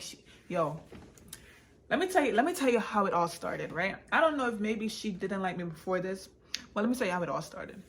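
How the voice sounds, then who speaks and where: A woman telling a personal story casually, repeating phrases for emphasis and slipping into Patois. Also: mid-range voice. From born in Jamaica, raised in England and the United States. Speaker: vlogger and author telling her own story.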